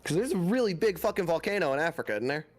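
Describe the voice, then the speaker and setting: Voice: mid-range voice, sing-song, rapid-fire, soft background music. A player thinking aloud uncertainly and swearing casually during a word game. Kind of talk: casual gaming chat.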